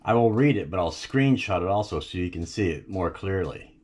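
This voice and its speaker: Low-voiced American man, lively, chatty vlogger. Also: fairly quick, breathy edge. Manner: folksy, chatty, addresses viewers directly. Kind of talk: casual storytelling vlog about local history. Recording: noisy, with ambient vehicle sounds.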